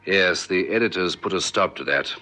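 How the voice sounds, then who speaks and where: An actor playing a courteous, talkative senior news editor in a radio drama, with a husky voice. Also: male voice, sits low, normal speed, bright, forceful tone. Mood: explanatory, forthright. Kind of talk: old South African radio drama acting.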